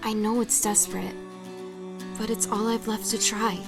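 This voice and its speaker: A woman playing a desperate, grieving young woman in a dramatic monologue for an animated fan drama. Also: mid-range voice, normal speed, gravelly, lightly acted. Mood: desperate, resigned. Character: desperate, grieving young woman who lost her powers. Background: background music.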